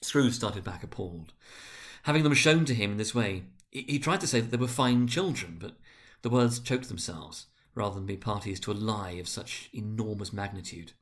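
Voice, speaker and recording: A man narrating a literary story dramatically, with deliberate, expressive phrasing. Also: lowish voice.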